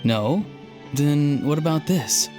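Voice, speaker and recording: A man's voice: on the high side, expressive, slow pace. An actor playing a friendly, earnest young man from a village in a video game, talking casually as he explains. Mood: musing. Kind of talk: conversational video game voice acting. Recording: faint music behind the voice.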